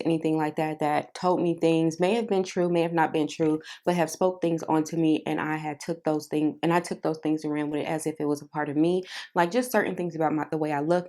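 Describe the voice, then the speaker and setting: A young woman vlogging to camera about self-help in a casual, chatty way, with many fillers and a soft, careful delivery. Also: low-pitched.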